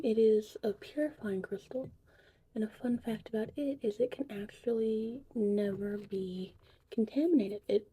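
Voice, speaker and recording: A woman whispering softly and breathily in an unhurried, chatty ASMR witch potion tutorial roleplay. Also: medium pitch, creaky, husky, rough recording. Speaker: ASMR host.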